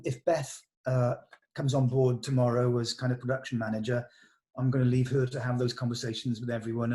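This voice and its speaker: A man's voice: mid-range voice, a little mellow. Man thinking aloud in a meeting. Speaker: film director. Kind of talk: casual production meeting talk.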